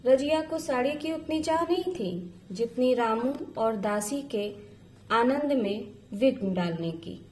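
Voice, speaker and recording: A woman's voice: fairly high pitch, animated, slow, a little mellow, slight background noise. A woman storyteller reading a literary story as scripted narration.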